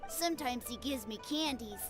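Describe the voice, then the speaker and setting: A woman's voice: a little high, normal speed, faint music behind, close to an everyday voice. A woman voicing an eager, boastful young boy who plays at being a martial arts master in a video game. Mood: earnest, chatty. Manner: boastful, excitable, childlike.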